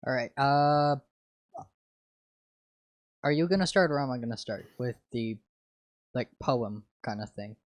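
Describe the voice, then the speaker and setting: Unhurried man co-hosting a fan fiction reading. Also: medium pitch, fairly even intonation. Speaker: fan fiction reader and co-host. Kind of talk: casual co-hosting and read-aloud.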